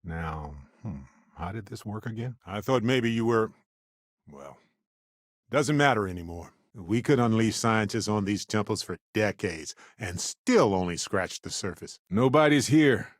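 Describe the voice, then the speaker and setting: Video game companion: adventurous, wry spacefaring man, very lively delivery, dry close studio sound. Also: on the low side, unhurried, no echo. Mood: casual, musing. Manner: wry, playful, expressive.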